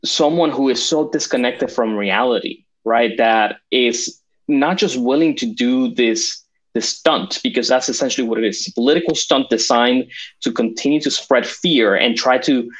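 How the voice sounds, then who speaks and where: A man's voice: mid-range voice, normal speed, fairly bright tone, rough recording. A man from Venezuela talking passionately about politics, with frequent restarts and fillers. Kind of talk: political discussion.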